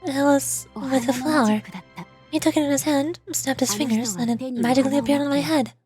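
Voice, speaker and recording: A woman playing a soft, wistful grieving widow. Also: high voice, brisk, music in the background, close to an everyday voice. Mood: tender. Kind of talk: video game character voice acting.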